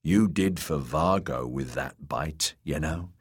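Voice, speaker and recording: A man's voice: very deep voice, slow, husky, exaggerated voice. A man voicing a coarse, mocking sellsword who talks in a casual drawl. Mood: casual menace. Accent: fantasy drawl. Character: jeering male sellsword spearman, coarse and casual.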